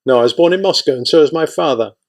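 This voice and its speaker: A man's voice: a little high, fast talker, creaky, voice pushed up. A man voicing a young former prisoner who asks plain, wary questions.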